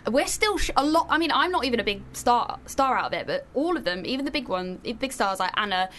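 A woman's voice: fairly high pitch, lively intonation, a little fast, fairly bright tone, slight background noise. A young woman chatting as a guest in a radio studio, talking freely and with animation. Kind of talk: casual chat.

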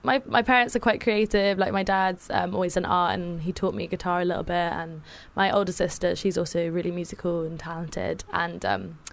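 A woman's voice: on the low side, fairly even intonation, a little fast, gravelly, hardly any pauses. A young woman with an English accent, chatting casually as a musician guest, with lots of fillers and laughs. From England. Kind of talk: casual chat.

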